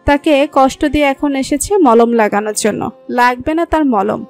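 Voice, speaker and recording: A woman narrating a romantic story in a smooth, even storytelling voice. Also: high voice, moderate pace. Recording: soft background music.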